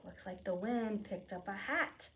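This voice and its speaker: A woman presenting a preschool storytime, reading aloud and explaining in simple, expressive phrases with questions for young children. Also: medium pitch, a little slow, slight background noise.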